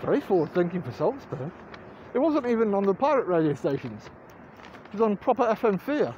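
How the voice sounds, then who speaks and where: A man's voice: high voice, very animated, a little fast, darkish tone, highs cut off, slight background noise. A middle-aged English man narrating a casual, rambling vlog into his phone in an informal, wry way. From England. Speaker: vlogger. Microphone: phone at arm's length.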